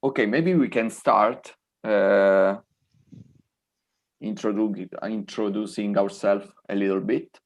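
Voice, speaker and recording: A man's voice: fairly low pitch, fairly even intonation, takes it slowly. A man in his twenties from Italy, hosting an online event and switching easily between English and Italian. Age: 20s. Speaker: event host.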